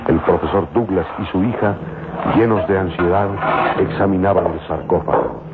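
A man narrating an old radio serial in a solemn, grandiose, measured dramatic style. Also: sits low, very noisy. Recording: muffled old phone-like radio, loud music. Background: dramatic music.

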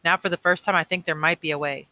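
A man reads as a weary elder mentor in a storybook. He speaks with grave, measured authority in a clear-edged, very bright voice, earnest and firm. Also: on the high side, steady tone. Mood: earnest, reflective.

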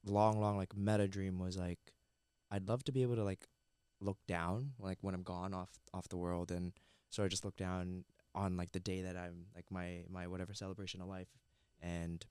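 A man from Canada, a chef and entrepreneur, chatting casually as a podcast guest in a studio, relaxed and full of fillers. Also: sits low, normal speed, smooth, dry recording. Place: recording studio. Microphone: headset mic and headphones.

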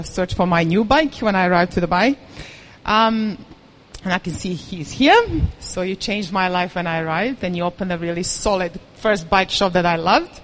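A woman's voice: fairly low pitch, low-quality recording. A woman giving a candid, self-deprecating personal talk to a live audience; she says she is nervous. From Serbia. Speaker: event speaker.

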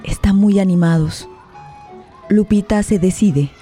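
A woman giving sombre scripted narration for a radio play with measured, deliberate phrasing. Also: medium pitch, dark tone, soft background music.

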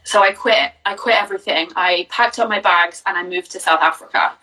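A woman's voice: lowish voice, brisk, bright, forceful tone, far from the mic, rough recording. A young woman from Jersey, a business coach, chatting openly in an interview and telling her story with plenty of fillers. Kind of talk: casual interview answers.

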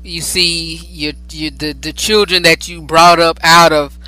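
A talk-show host, a man, rambles through his questions with many fillers and steady affirmations. His voice sits fairly high for a man and sounds very bright. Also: a little slow, clean tone, faint music behind, poor audio. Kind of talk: interview conversation.

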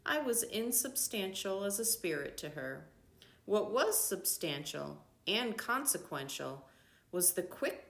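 An older woman reading a book aloud as scripted narration, in measured, deliberate phrases with pauses. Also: mid-range voice, fairly bright tone. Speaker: reader of a book.

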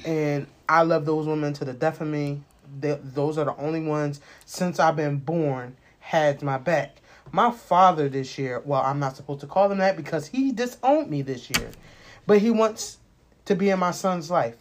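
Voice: medium pitch, normal speed, slight background noise. An American podcast host in their late twenties talking casually and personally, rambling, candid and emphatic, with plenty of swearing. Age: late 20s.

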